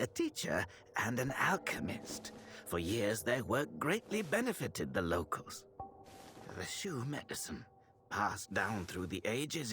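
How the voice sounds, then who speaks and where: A man playing a grave, compassionate, scholarly temple healer in a video game, with formal, thoughtful, measured delivery. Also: medium pitch. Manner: solemn, formal, thoughtful, old-fashioned diction. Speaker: video game character voice actor. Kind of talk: scripted game dialogue, dramatic and measured.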